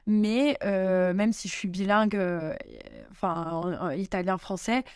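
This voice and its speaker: Young French woman, smooth and hesitant. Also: mid-range voice, fairly even intonation, measured pace, darkish tone, no echo, clean studio sound. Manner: hesitant, many fillers and restarts. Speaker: guest. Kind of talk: casual interview answers. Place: home in Italy.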